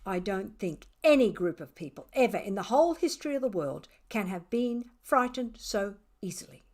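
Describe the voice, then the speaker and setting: A woman voicing a mischievous, sarcastic, self-satisfied male cat who tells his story theatrically, with dramatic pauses. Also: medium pitch, sing-song, a little mellow, natural voice. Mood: boastful, theatrical.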